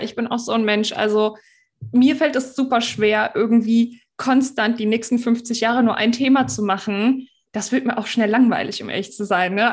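Chatty woman podcast host, higher-pitched, slightly husky. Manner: chatty, informal, effusive wording. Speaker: podcast host and personal development coach. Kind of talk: casual podcast interview talk.

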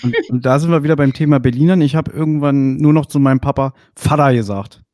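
A man's voice: mid-range voice, quick pace, darkish tone, dry recording. Man hosting, dry close sound. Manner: conversational, hesitant when asking questions. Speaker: podcast host. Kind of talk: casual interview chat.

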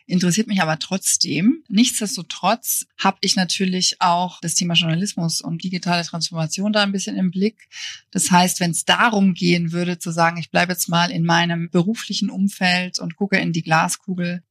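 A woman's voice: lowish voice, a bit bright, dry recording, studio quality. A woman from Bavaria, a journalist guest, giving thoughtful, frank interview answers, often qualifying with "I think". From Bavaria, Germany. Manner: thoughtful, frank, hedges with "ich glaube".

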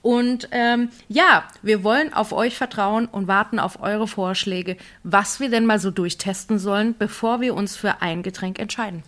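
A woman's voice: medium pitch, moderate pace, hardly any pauses, fairly bright tone. Upbeat Hessian-accented woman podcaster. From Frankfurt, Germany. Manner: upbeat, wraps up and leads segments. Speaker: podcast co-host. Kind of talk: casual chat. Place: home in Munich.

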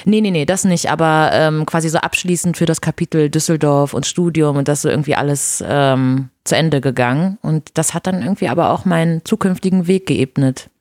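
A woman's voice: sits low, fairly quick, hardly any pauses, no room sound, studio quality. A woman from Brazil, a native Portuguese speaker in her thirties, chatting frankly in an interview as the guest. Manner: relaxed, frank, with fillers. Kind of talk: casual interview chat.

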